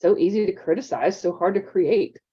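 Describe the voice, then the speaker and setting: A woman's voice: mid-range voice, fairly quick, warm tone, slightly rough, dull audio, natural voice. A woman doing a joking, eager schoolboy. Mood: upbeat. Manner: upbeat, teasing. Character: eager joking boy student.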